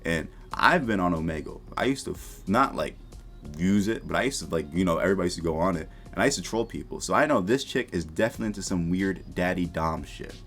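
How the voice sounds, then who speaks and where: A man with a deep voice delivers casual, unscripted reaction commentary over faint music. His manner is blunt and slangy, with plenty of profanity. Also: lively intonation, fast, fairly bright tone. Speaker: YouTube commentator. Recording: music behind the voice. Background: background music.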